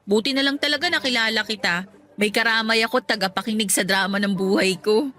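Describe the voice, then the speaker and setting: A woman with a Filipino accent playing a warm, self-deprecating single mother in a radio drama, speaking expressively. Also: on the high side, quick pace. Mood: rueful, self-mocking.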